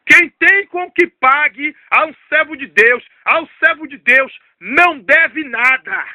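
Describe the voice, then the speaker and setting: A man's voice: very high-pitched, slow, sharp tone, no room sound. A man, preaching an emphatic, repetitive testimony sermon. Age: 30s. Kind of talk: fervent storytelling sermon.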